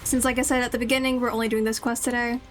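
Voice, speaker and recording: A woman's voice: a little high, flat delivery, rapid-fire, over music. A woman streaming a video game, chatting casually in a rambling, playful, self-deprecating way.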